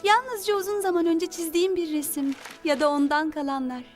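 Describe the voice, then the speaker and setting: Very high-voiced young princess. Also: female voice, fairly even intonation, brisk. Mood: wistful. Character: wistful, naive young teenage princess. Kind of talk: animated series dub acting. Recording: faint music behind the voice.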